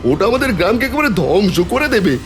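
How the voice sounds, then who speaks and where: A man's voice: sits high, very animated, fast, faint music behind. A man playing a cunning, jealous village schemer in a cartoon story, speaking with urgent insinuation. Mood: accusing, urgent.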